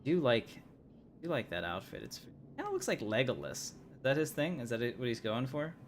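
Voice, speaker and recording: A chatty male game streamer talking his viewers through his play with casual, joking asides. His voice sits at an ordinary middle pitch. A faint hiss runs beneath it. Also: wildly swooping pitch, a little fast. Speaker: livestream gamer. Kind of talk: casual gameplay commentary.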